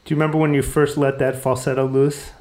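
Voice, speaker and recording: A man hosting a casual interview, putting questions in a halting, conversational way and rephrasing as he goes. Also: mid-range voice, level tone, a little mellow. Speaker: interviewer, podcast host.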